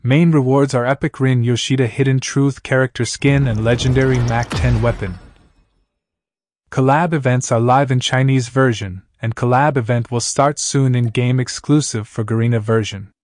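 A man's voice: mid-range voice, steady tone, moderate pace, darkish tone. A man hosting a gaming channel, reading a scripted news update as narration.

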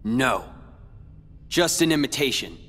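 An actor playing a brooding, defiant teenage warrior in a video game, somber, measured and resolute. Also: male voice, mid-range voice, sing-song, fairly bright tone, heavily acted. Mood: cold. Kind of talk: video game cutscene acting. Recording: long hall reverb.

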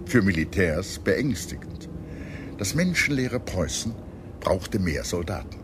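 A man reading a scripted history documentary in a measured, expressive storytelling manner. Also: deep voice, background noise. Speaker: documentary narrator. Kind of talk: scripted documentary narration with dramatized quotes. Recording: music under the voice. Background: background music.

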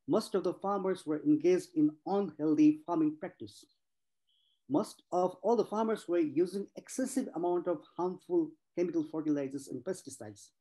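A man's voice: a little high, warm tone, poor audio. A man in his forties from Nepal, giving an earnest personal talk as a speaker at an online panel, stressing points through repetition. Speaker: conference speaker.

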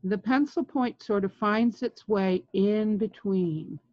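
Woman in her sixties, artist demonstrating technique, slow pace, halting phrases, dull close dry recording. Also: medium pitch, dry recording. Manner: unhurried, halting phrases with long pauses. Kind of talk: informal art tutorial talk. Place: indoors, home studio room with framed art. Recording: dull, lo-fi webcam-style sound.

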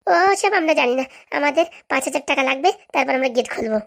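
A high-voiced, bossy village woman from a comic cartoon, played by a woman. She talks very quickly, scolding and scheming like someone set on getting her money. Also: gravelly. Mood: haggling, defiant. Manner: scolding, demanding, haggling.

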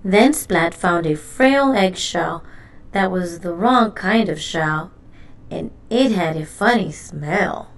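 A woman reading a children's storybook aloud in an expressive, deliberate narrating style. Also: fairly low pitch. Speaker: storybook reader.

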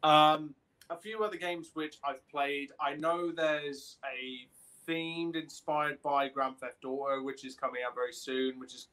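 A man in his twenties or thirties co-hosting a casual podcast over a video call, halting and informal. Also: a little high, fairly even intonation, sharp tone. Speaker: podcast co-host. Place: small room at home, on a video call.

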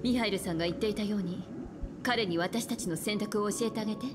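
A woman's voice: medium pitch, quick pace, natural voice. An actress playing a composed, decisive woman companion in a video game, cool and matter-of-fact. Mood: composed, assured. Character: composed, decisive adult female traveller companion. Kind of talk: Japanese video game voice acting. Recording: music under the voice.

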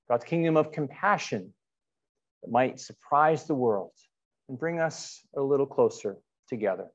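A middle-aged man, speaking as a pastor leading worship and prayer in measured, deliberate phrases with pauses. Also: fairly high pitch, highs cut off. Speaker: pastor leading an online church service. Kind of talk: sermon and spoken prayer. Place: indoors in a church, before a painted backdrop.

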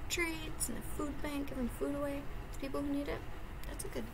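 A woman's voice: high-pitched, flat delivery, a little fast. A young woman reading a picture book aloud as a preschool teacher, adding simple explanations for young children. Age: young adult. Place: indoors, classroom. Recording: clear background noise, webcam-style room recording.

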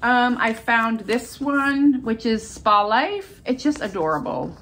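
A woman's voice: fairly high pitch, lively intonation, takes it slowly, a bit bright, a little hiss or hum. A middle-aged American woman with a stuffy, congested voice, chatting casually and enthusiastically as she presents shopping finds. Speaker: haul video host. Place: furnished room at home, indoors.

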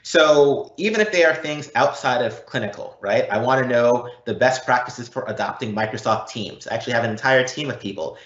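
Man, consultant presenter, explanatory, conversational check-ins, mid pitch, echoey room, muffled online audio. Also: lively intonation, brisk, hardly any pauses, a bit bright. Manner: explanatory, checks in with "right?" and "okay?". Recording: roomy, slightly dull online event audio.

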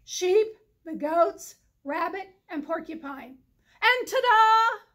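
A kindly moose husband from a picture book , acted out by a woman. He is earnest, polite and set on his task. The voice sits high and calls out with emphasis. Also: animated, slow, a bit bright, full character voice. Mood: polite, pleased.

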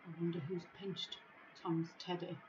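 A middle-aged woman reading a children's storybook in a measured, expressive way, recorded on a device microphone in an office. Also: lowish voice, muffled recording, noisy background. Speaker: school staff member reading a bedtime story. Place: small office, indoors.

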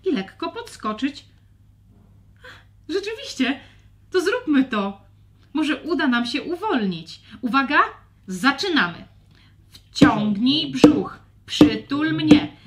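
A woman voicing an expressive young female dragon in a children's audio fairy tale. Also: sits high, slow, pauses often, voice pushed up, full character voice. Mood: encouraging. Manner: expressive, exclaiming. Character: young female dragon, tearful then earnest peacemaker.

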